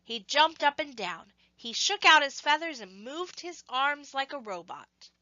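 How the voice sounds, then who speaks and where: A woman reading a scripted story to children, with expressive, measured phrasing and emphasis on action words. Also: sits high, no room sound, muffled recording.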